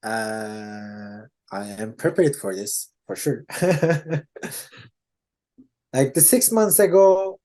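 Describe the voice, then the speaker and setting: A man with a Turkish accent answering interview questions in a casual, halting way, often restarting his sentences and laughing. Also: mid-range voice, dark tone. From Turkey. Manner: hesitant, many restarts and laughs. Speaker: interview guest, Salesforce developer.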